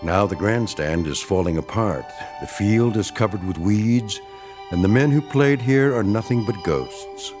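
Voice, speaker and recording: A man's voice: fairly low pitch, expressive, measured pace, music in the background. An American man narrating a radio drama as a wry, folksy, theatrical storyteller introducing an eerie tale. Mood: wry, ominous.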